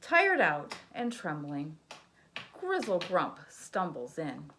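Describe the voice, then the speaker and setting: Animated woman reading a picture book aloud. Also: low-pitched, takes it slowly, background noise. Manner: animated, sound effects, asks listeners questions. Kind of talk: children's read-aloud.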